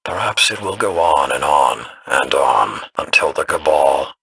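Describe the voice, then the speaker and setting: A grim, vengeful war hawk from a video game. His voice is very low and heavily rasped, with a hard bright edge. He speaks formally and deliberately, with severe weight. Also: expressive, dry recording, exaggerated voice. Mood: bitter, hawkish. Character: hardline male military strategist, grim and vengeful.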